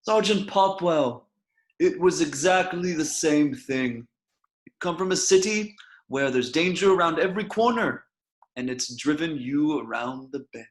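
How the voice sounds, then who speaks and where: A man's voice: a little high, lively intonation, a little slow. A man reading a genial, patronizing police chief in a table read over a video call. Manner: soothing, patronizing.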